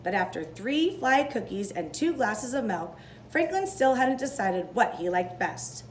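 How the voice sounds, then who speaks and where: A woman reading a children's story aloud in an expressive style. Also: fairly high pitch, a little slow, a little hiss or hum, low-quality recording. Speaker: storybook reader.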